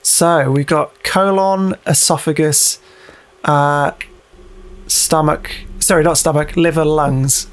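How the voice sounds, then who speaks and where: A man with a slightly high voice and plenty of vocal fry plays a game and commentates casually. He mutters to himself, swears freely and reads on-screen text aloud in a jokey way. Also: fairly even intonation, takes it slowly. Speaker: gaming video host playing a horror game.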